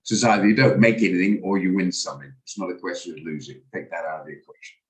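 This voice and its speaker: Hoarse low-voiced man, halting. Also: fairly even intonation. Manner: hesitant, stammering restarts, cautious. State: hoarse, recovering from flu. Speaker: trading room host. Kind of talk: live market commentary on a video call.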